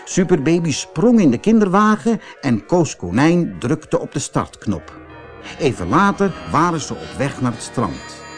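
A man reading a children's audiobook story as narrator, in an expressive, dramatised way. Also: fairly high pitch, measured pace, soft background music.

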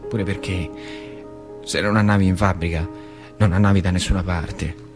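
A man's voice: sits low, steady tone, moderate pace, faint music behind. An actor playing an old factory worker recalling his boyhood in a colloquial theatrical monologue, with a rural central Italian accent from northern Lazio. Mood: earnest, reminiscing. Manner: colloquial, vivid, swinging between hushed and cried-out delivery. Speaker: stage actor performing a monologue. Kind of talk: theatrical storytelling monologue for radio.

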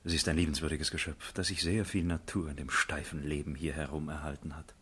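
A sardonic, world-weary gentleman of a period radio drama. His voice is very low and husky. He talks theatrically, as if sharing mocking confidences. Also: level tone, a little fast, exaggerated voice. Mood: eager, confiding. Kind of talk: German literary radio play acting.

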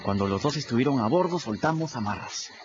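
A man with a Peruvian accent narrates in the first person for a radio drama, his voice moving lively up and down. The audio is dull, and music plays underneath. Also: mid-range voice, fairly quick. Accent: Peruvian Spanish. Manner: storytelling, expressive.

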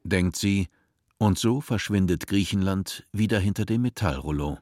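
Deep-voiced male narrator. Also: normal speed, background noise. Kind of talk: scripted narration. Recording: dry studio sound.

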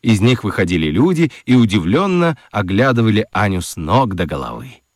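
A man narrating a children's story in an expressive, theatrical storytelling manner. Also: lowish voice, a little fast, no room sound, clean studio sound.

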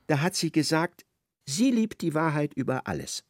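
A man's voice: fairly high pitch, sing-song, moderate pace, dry recording, clean studio sound. A man narrating a literary radio play in a theatrical manner, retelling conversations in reported speech.

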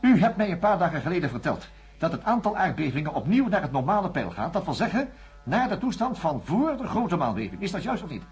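An actor playing a stern, sarcastic research doctor in a science fiction radio play, with a curt, formal and forceful way of talking. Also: male voice, on the high side, sing-song, quick pace, husky, soft background music, full character voice. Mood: angry, accusing.

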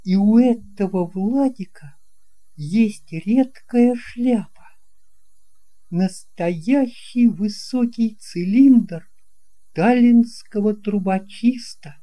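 A woman reading a children's story expressively, with deliberate phrasing and dramatic pauses. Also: medium pitch, mellow. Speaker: audiobook reader.

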